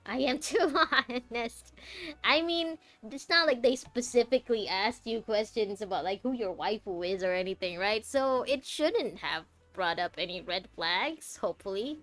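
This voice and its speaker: Chatty young woman streamer, lightly high voice. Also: normal speed, fairly bright tone, faint music behind. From Malaysia. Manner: chatty and rambling, thinking aloud with giggles. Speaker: game streamer. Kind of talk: casual commentary while playing a game.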